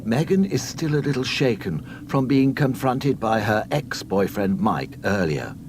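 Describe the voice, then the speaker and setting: A man narrating a scripted read-along storybook in a mock-solemn, deadpan comic manner. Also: mid-range voice, background noise.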